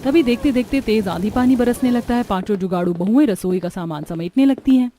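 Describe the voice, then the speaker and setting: A woman's voice: fairly high pitch, fairly quick, mellow, a little hiss or hum. A woman giving scripted narration for a cartoon story as its narrator.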